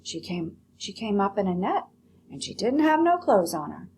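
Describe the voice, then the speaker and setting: A woman voicing a gentle, timid older country mother in a storybook, with a shaky voice and folksy, meek speech. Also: mid-range voice, very animated, normal speed, slight background noise, close to an everyday voice. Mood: meek explaining. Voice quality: tremulous, shaky.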